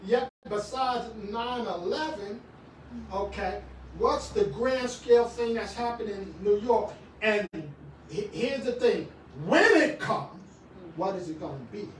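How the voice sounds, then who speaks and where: A middle-aged man preaching a sermon in a small church, swinging from shouts to quiet talk with many restarts. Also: sits high, unhurried, slight background noise. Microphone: far camera. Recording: echoey room, far from the camera.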